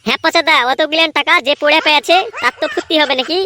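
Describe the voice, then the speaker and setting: A woman's voice: sits high, fairly even intonation, quick pace, bright, forceful tone, slight background noise. A woman voicing a cheeky, boastful young male troublemaker in a comedy cartoon, with a brash, cocky manner. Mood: gossipy. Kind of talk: cartoon voice acting.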